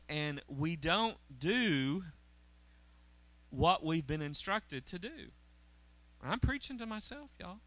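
Bright-voiced pastor preaching. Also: male voice, high voice, no echo. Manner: conversational preaching, checks in with the crowd. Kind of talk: sermon. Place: church auditorium stage, indoors. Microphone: handheld mic.

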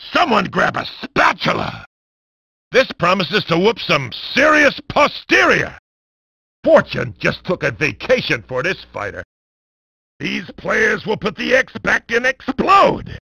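Raspy, bombastic arena combat announcer. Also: male voice, sits high, wildly swooping pitch, slow pace, bright, forceful tone, no echo, full character voice. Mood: excited, bellowing. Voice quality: gravelly, raspy. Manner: hammy, wisecracking, often bellowing. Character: bombastic, gleefully violent male arena combat sports announcer. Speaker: game announcer. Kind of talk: over-the-top sports commentary for a video game.

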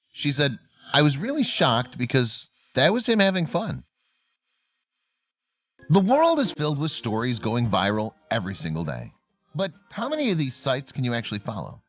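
Male narrator, scripted documentary read, lively swooping intonation, slightly dull lo-fi sound. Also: medium pitch.

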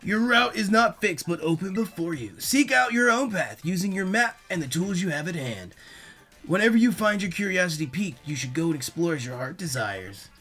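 A kindly, scholarly professor from a video game speaks in an earnest, measured way, as a man reads his lines aloud. The voice sits a little high for a man. Faint music plays underneath. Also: animated, fairly bright tone.

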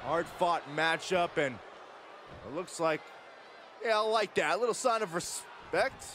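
A man's voice: high-pitched, measured pace. A man voicing a reactive, conversational wrestling analyst in a video game. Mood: approving. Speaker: color commentator. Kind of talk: sports commentary in a video game. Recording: noisy arena crowd behind commentary.

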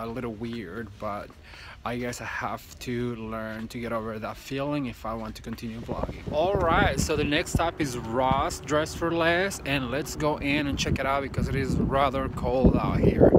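A man in his thirties filming a casual shopping vlog on a phone held at arm's length, gushing over decor in big stores and outside in a parking lot. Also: medium pitch. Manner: chatty, gushing, enthusiastic. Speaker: home decor vlogger.

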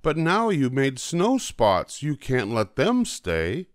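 A man's voice: mid-range voice, wildly swooping pitch, slow pace, no echo, studio quality, pitched above the performer's own voice. An older man voicing a worried, scolding child in a children's storybook, protesting emphatically. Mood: alarmed. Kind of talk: children's storybook character reading.

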